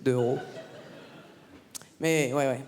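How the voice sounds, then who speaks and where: A woman's voice: extremely low pitch, steady tone, takes it slowly, a little mellow. A woman from France performing a stand-up comedy set on a theatre stage, teasing the crowd with punchy banter. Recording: live gala, long hall reverb.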